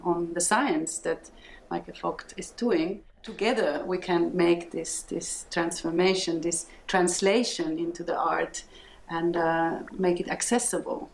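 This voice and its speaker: A visual artist woman from northern Finland, talking thoughtfully in an interview, pausing often with fillers. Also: on the low side, roomy sound, slight background noise, poor audio. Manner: thoughtful, hesitant with many fillers. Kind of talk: documentary interview.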